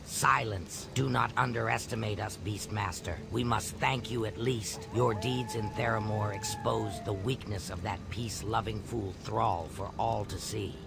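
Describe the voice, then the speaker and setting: An actor playing a haughty, menacing orc conspirator with a gravelly voice in a fantasy game, over music. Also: male voice, on the low side, steady tone, unhurried, few pauses. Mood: contemptuous.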